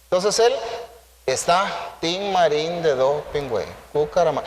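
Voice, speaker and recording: A man with a Central American accent preaching conversationally to a congregation, animated and playful, full of rhetorical questions and acted-out dialogue. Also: on the high side, takes it slowly, a little hiss or hum. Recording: echoey hall with long reverb.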